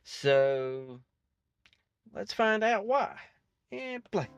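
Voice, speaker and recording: A man hosting a reaction video with casual, rambling commentary, haltingly thinking aloud. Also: high-pitched, big pitch swings, clean tone, no room sound, dull audio, faint music behind.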